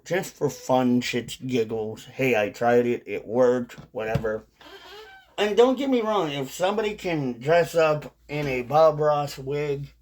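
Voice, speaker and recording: A man's voice: medium pitch, a little mellow. A man with a mid-range voice speaks off the cuff in a casual vlog style. He rambles through his thoughts, often restating and rewording what he has just said. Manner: rambling, repeats and rephrases points. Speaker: video creator on a dipping tobacco channel. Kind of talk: casual unscripted vlog monologue.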